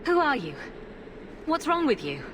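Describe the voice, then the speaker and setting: An actress playing a resolute, civil young adventurer in a video game, speaking in a direct, questioning way. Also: female voice, high voice, big pitch swings, a little slow, background noise. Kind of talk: dramatic video game acting.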